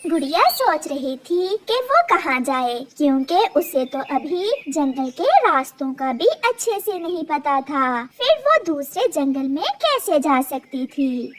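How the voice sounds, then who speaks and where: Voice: sits high, big pitch swings, unhurried, few pauses, a bit bright. A narrator telling a scripted children's cartoon story.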